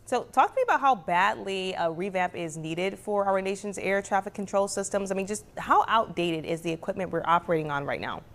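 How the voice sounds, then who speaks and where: A woman's voice: mid-range voice, lively intonation, fairly quick, hardly any pauses, fairly bright tone. A woman with an American accent, working as a news anchor and interviewing a guest on a broadcast.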